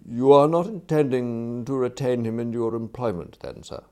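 A man voicing a deferential, unflappable English valet in an audiobook, formal, measured and courteous. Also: mid-range voice, darkish tone, pitched above the performer's own voice, natural voice. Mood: polite, inquiring. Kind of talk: acted audiobook dialogue.

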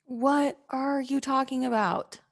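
A woman's voice: high voice, steady tone, slow, gravelly, breathy edge, exaggerated voice. An actress playing an exasperated, blunt young woman in a comedic radio drama, sardonic and bossy. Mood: sarcastic disbelief. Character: exasperated, blunt, sarcastic young female companion.

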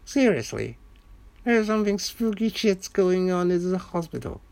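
A man's voice: high voice, sing-song, moderate pace, vocal fry, darkish tone, pitched above the performer's own voice, exaggerated voice. A man voicing a baffled, sweary male hospital patient in a radio play, given to exclaiming. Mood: agitated.

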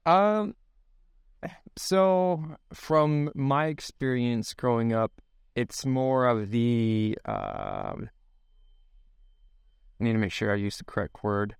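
A man's voice: mid-range voice, very animated, slow, gravelly, long pauses, smooth, no room sound, clean studio sound. A man in his thirties from Sweden with a Swedish accent, speaking English, reflecting as a podcast guest with many fillers and restarts. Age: 30s. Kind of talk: casual podcast interview.

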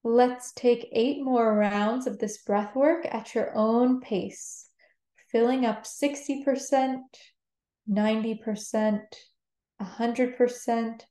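Slow, mellow woman guiding meditation. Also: a little high, fairly even intonation. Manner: gentle, soothing instruction. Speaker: meditation guide. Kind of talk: guided meditation. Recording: roomy, echoing sound.

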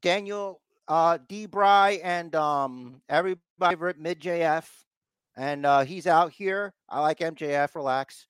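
A man's voice: on the high side, unhurried, a bit bright, smooth, no room sound, studio quality. A man hosting a podcast, giving a casual, rambling recap with mock exasperation and repeated phrases for emphasis. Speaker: podcast host recapping wrestling.

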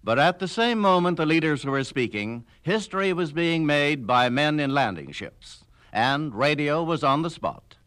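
A man's voice: fairly high pitch, big pitch swings, normal speed, hardly any pauses, fairly bright tone, soft background music. A man narrating a scripted historical radio documentary in a formal, declarative way.

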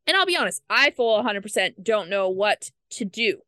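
Bright, smooth-voiced woman podcaster. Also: medium pitch, sing-song, no echo, studio quality. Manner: earnest, quick asides with laughs. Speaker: podcast co-host. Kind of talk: casual book discussion.

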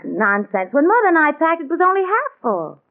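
An actress playing a fussy, insistent, fretful wife with a brisk, bossy manner in 1940s radio thriller style. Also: female voice, high voice, sing-song. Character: fussy, insistent young wife, fretting over her mother. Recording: narrow, tinny 1940s radio recording.

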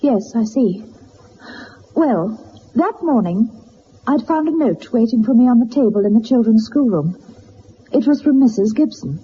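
Woman acting high-voiced, earnest governess. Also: dull audio, slight background noise, natural voice. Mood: subdued, earnest. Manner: earnest, hesitant, formal, emotional. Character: earnest, distressed young governess accused of murder. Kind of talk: dramatised detective radio play.

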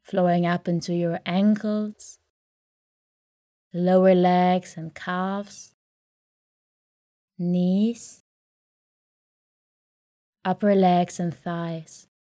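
Female meditation guide: unhurried, soothing delivery, lowish voice, gentle pauses for a bedtime relaxation script. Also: level tone, no echo, studio quality. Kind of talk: guided sleep meditation, soft scripted narration.

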